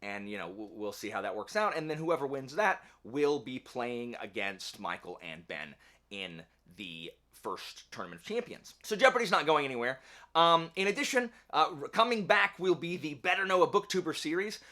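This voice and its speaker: Bright-voiced man giving a casual vlog update. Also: on the high side, sing-song, moderate pace. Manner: rambling, self-deprecating, wry. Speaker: YouTube book channel host. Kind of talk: casual channel update to camera. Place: indoor home office.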